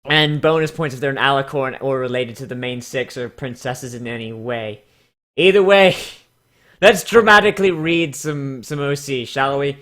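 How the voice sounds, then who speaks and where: A man's voice: medium pitch, moderate pace, a bit bright. A man giving casual comedic commentary and mock dramatic readings in a sarcastic, theatrical, foul-mouthed way. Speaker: YouTube host.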